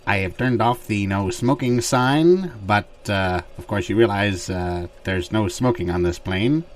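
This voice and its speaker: A man acts out an airline captain in an improv comedy sketch. His voice sits very low, and he delivers formal announcements in an unflappable, matter-of-fact way. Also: a little slow, natural voice. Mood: calm, official.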